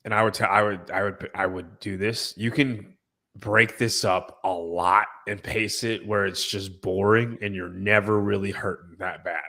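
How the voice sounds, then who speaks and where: Male podcast host, bright tone, stuffy nasal cold, joking coach energy. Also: fairly low pitch, steady tone, unhurried, vocal fry. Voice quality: slightly nasal. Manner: teasing, coach-like. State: congested from a cold. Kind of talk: casual chat.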